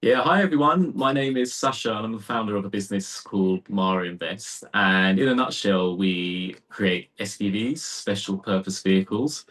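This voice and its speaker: A man's voice: fairly low pitch, normal speed, few pauses, a bit bright, rough recording. A man founder introducing himself and his company in an easygoing way on a video call. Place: quiet basement room in a London members' club.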